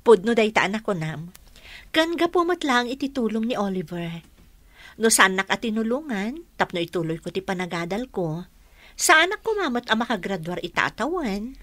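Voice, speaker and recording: An actress playing a sweet, grateful young mother in a radio drama, speaking in a warm, sentimental manner. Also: mid-range voice, sing-song, normal speed, no echo. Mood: reflective. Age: young adult. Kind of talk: Filipino radio drama acting.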